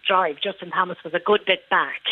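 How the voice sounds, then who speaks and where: A woman's voice: fairly low pitch, steady tone, fairly quick, no room sound. An Irish woman talks chattily on a radio phone-in and stresses her points again and again. Her voice comes through a dull, muffled phone line. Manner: chatty, emphatic, repeats herself. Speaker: golf club lady captain, caller.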